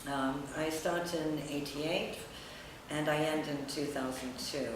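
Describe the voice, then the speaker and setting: Middle-aged female writer at a public event, pausing often, heavy echo and clear background noise. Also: sits low, level tone. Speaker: writer and editor presenting own essay. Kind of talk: public talk, semi-improvised. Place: echoey indoor room at a public event. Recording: very echoey distant room recording, noisy.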